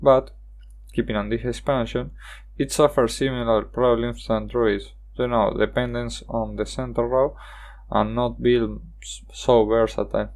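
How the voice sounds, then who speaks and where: Young argentine guy, spanish native, ranks game cards in careful slow english, medium voice. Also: medium pitch, fairly even intonation, darkish tone. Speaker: channel host. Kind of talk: card game review voice-over.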